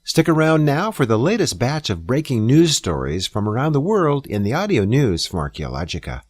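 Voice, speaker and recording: A man's voice: medium pitch, wildly swooping pitch, moderate pace, breathy edge, dry recording. A man reading a scripted introduction as the host of a news programme. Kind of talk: scripted announcement.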